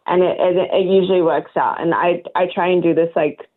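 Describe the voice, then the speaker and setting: Easygoing young woman calling in, laughs a lot, lowish voice, tinny phone line. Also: steady tone, fairly quick. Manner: warm, easygoing, laughs often. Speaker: guest on a phone call. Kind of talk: casual chat.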